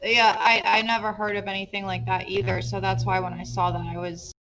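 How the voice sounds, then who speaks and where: A woman hosting a casual podcast interview, whose first language is Polish, chatty and quick to laugh. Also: medium pitch, little pitch movement, a bit bright, a little hiss or hum, poor audio. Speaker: podcast host.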